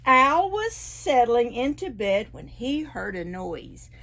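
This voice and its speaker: A woman reading picture books aloud to preschoolers, with an animated manner and playful questions to the children. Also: on the high side, slow, a little hiss or hum. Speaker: librarian storyteller for preschoolers. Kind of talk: expressive picture-book read-aloud.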